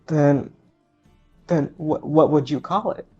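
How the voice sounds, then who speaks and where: A man's voice: fairly high pitch, fairly even intonation, dark tone, breathy edge. Guy doing a shaken teen girl in a tabletop game, keeps stuttering, dull lo-fi mic, music behind. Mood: guilty, hesitant. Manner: halting, stammering, repeats words. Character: distraught, guilt-ridden teenage orphan girl confessing.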